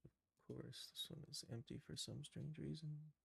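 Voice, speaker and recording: A man's voice: on the high side, fairly even intonation, normal speed, gravelly, fairly bright tone, husky, no room sound. A man giving casual commentary as he works through an animation tutorial on a livestream, muttering to himself as he goes. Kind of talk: casual screen-work commentary, thinking aloud.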